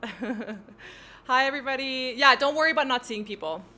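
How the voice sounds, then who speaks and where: A woman's voice: sits high, moderate pace, pushed and bright. A woman with a French Canadian accent hosting a flight sim livestream, chatting casually, giggling often and talking through her cockpit steps. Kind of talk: casual livestream chat while flying.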